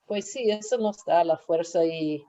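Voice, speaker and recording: A woman's voice: fairly low pitch, normal speed, warm tone. A woman making casual conversational remarks over muffled video-call audio.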